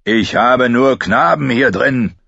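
A man voicing a gruff, stubborn older watchman in an audiobook, blunt and defiant in manner. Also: lowish voice, moderate pace, a bit bright, no echo, dull audio.

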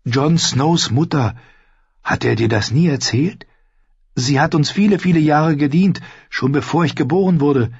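Man playing a high-pitched, earnest young squire boy. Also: measured pace, vocal fry, a little mellow, slightly rough, dry recording, dull audio, heavily acted. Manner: polite, solemn, hesitant. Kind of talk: audiobook character voice.

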